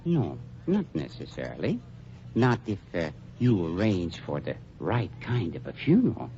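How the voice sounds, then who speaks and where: A man's voice: low-pitched, huge pitch swings, mellow, exaggerated voice. A man playing an oily, falsely polite undertaker who blackmails a gangster, in 1940s radio acting on a dull, lo-fi recording with faint hiss. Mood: unctuous. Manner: unctuous, falsely polite, with drawn-out pauses. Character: oily, smooth-talking male undertaker and blackmailer.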